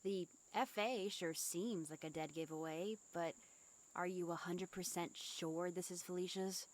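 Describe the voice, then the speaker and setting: A woman's voice: lowish voice, steady tone, measured pace, lightly acted. A woman voicing a stern but caring teenage schoolgirl, scolding yet concerned, in a fan dub of a visual novel game. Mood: curious, skeptical.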